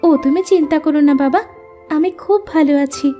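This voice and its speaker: Casting: mistreated young girl played by a woman, extremely high voice, submissive cartoon delivery over soft music. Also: brisk, lightly acted. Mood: gentle, reassuring. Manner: submissive, placating. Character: meek, dutiful, mistreated young stepdaughter. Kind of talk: Bengali cartoon voice acting.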